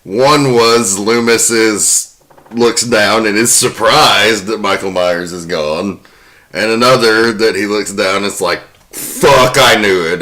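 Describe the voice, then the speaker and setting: A man's voice: on the low side, bright, forceful tone. A man co-hosting a film podcast, presenting behind-the-scenes commentary to friends. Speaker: podcast co-host. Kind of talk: prepared film trivia commentary among friends.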